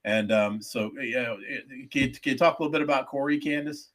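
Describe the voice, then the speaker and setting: Male podcast host, bright tone, loose joking ramble. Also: mid-range voice, a little fast. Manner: joking, rambling, stumbles on words. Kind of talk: casual podcast banter.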